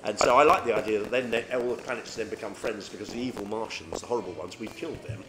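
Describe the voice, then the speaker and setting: A man's voice: on the low side, quick pace. A middle-aged man, an author, telling anecdotes in casual, chatty interview conversation. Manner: chatty, animated storyteller, frequent tag questions. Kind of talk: casual pub chat, anecdotes. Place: pub in Brighton. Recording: noisy pub recording with clicks.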